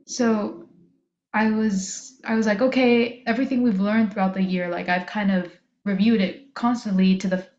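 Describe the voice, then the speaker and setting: A teenage woman who grew up speaking Spanish talks casually in a mid-pitched voice, like a student vlogger addressing her viewers. The recording is roomy and a little dull. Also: darkish tone. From moved to the US from abroad. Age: teens, high school student. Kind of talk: casual chat to camera. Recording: roomy echo, slightly dull sound.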